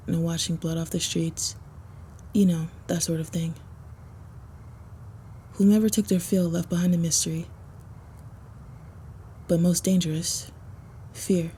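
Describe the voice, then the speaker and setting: A woman voicing a confident, flirty vampire loner in a first-person roleplay audio, measured and self-assured. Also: on the low side, warm tone, a little hiss or hum, close to an everyday voice.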